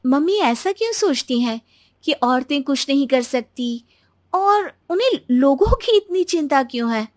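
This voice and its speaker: A woman's voice: high voice, expressive, a little slow, no echo, studio quality, pitched above the performer's own voice. A woman voicing a spirited young daughter-in-law in a cartoon, talking earnestly and persuasively. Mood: puzzled.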